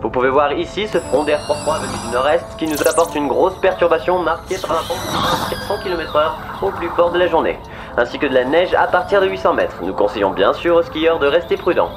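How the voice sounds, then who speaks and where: A man playing a polite weather presenter, giving a measured, scripted forecast in a French comedy audio drama. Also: a little high, expressive, music in the background, lightly acted. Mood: upbeat, formal. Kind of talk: parody broadcast weather report, scripted.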